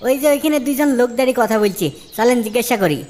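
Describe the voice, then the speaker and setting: Woman playing a quick, high-pitched eager companion. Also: animated, background noise, close to an everyday voice. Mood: eager, doubting. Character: eager, doubting young traveling companion seeking the judge. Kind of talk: Islamic moral cartoon dialogue.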